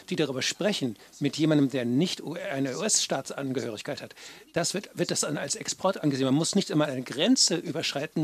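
A man interpreting a conference panel live, speaking in halting pieces and often correcting himself. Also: fairly high pitch, breathy edge. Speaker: simultaneous interpreter.

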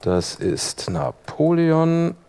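A man presenting an informal live software workshop, talking casually and thinking aloud as he demonstrates. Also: on the high side, sing-song, extremely slow, creaky, smooth. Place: live talk in a conference room.

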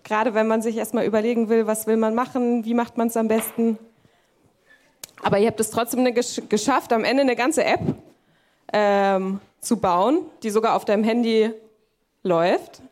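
A woman's voice: on the high side, steady tone, moderate pace, a little mellow. A woman acting as event host, moderating informally and responding to presenters.

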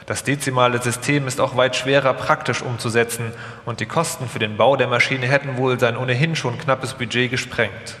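A man's voice: medium pitch, fairly even intonation, fairly quick, hardly any pauses, fairly bright tone. Male narrator in a very echoey hall. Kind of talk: scripted documentary narration, performed live. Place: live stage performance in a hall. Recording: echoey hall, long reverb, some music.

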